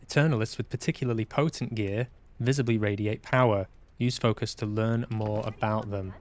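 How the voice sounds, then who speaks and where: A man's voice: fairly low pitch, fairly even intonation, normal speed, slight background noise. A man giving casual live game commentary, muttering to himself and reading prompts aloud. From Manchester, UK. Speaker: game streamer. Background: faint game music.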